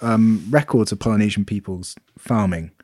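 A man co-hosting a casual podcast chat, reading out reviews and jumping in with quick laughs and swearing. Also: on the low side, a little mellow, no room sound, clean studio sound. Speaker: podcast co-host.

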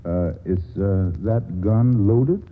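An actor playing a flippant, witty man who keeps joking even when a gun is aimed at him, in the style of 1940s radio acting. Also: lowish voice, slow. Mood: wry. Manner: glib, wry, talkative. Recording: tinny old radio broadcast with faint hiss.

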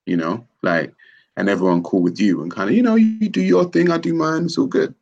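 A man's voice: medium pitch, steady tone, a little fast, gravelly, a little mellow, dull audio. A man hosting a casual conversation, skeptical and questioning, arguing with rhetorical questions.

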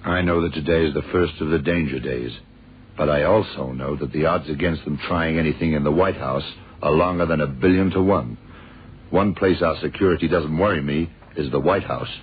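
An actor playing a gruff, confident government investigator in a radio drama, talking in a terse, world-weary way. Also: male voice, rumbling low, fairly quick, exaggerated voice. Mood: firm, assured. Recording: dull, noisy old radio recording.

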